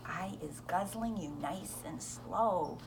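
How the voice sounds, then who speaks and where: A woman's voice: on the low side, slow pace, vocal fry, noisy background. A woman voicing a huge, greedy, bullying male giant in a storybook, menacing and ungrammatical. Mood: gloating menace.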